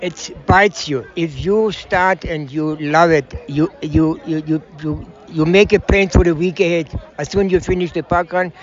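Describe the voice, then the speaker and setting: South African accent, older man, excited, repeats himself, muffled outdoor audio. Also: fairly high pitch. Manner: excited, halting, repeats words. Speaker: interviewee, parkrunner. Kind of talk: outdoor interview. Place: outdoors at a park.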